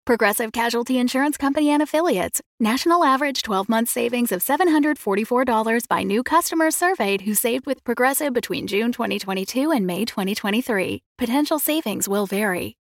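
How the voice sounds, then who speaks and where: A woman's voice: fairly high pitch, lively intonation, fairly quick, hardly any pauses, no room sound, studio quality. A woman announcer doing a scripted advertisement read.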